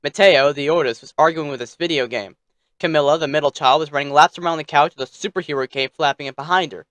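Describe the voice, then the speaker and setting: A man's voice: fairly high pitch, quick pace, a bit bright, no room sound. Male storyteller, bedtime narration, theatrical delivery, playfully snippy asides, very dry acoustic.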